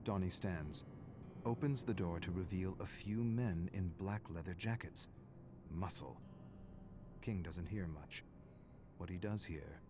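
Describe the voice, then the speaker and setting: Deep-voiced man narrating a brooding story. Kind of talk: dramatic scripted story narration.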